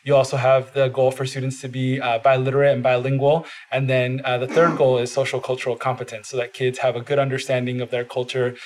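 Guy whose first language is spanish, studio podcast guest, earnest, pretty even monotone delivery. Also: medium pitch, fast, few pauses, crowd noise. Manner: earnest, steady, frequent "uh" fillers. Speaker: podcast guest, bilingual education advocate. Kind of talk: casual interview talk.

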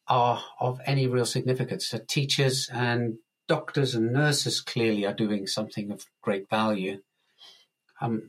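A man's voice: mid-range voice, rough recording. A man with a mid-range voice, interviewed as an author on a podcast. He speaks casually and explains ideas through analogies. He chuckles lightly as he goes. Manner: relaxed, chuckles often, uses analogies.

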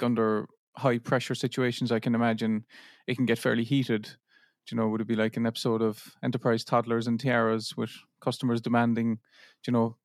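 A man's voice: mid-range voice, no room sound, clean studio sound. A man co-hosting a podcast, asking questions in a casual, chatty interview style. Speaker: podcast co-host.

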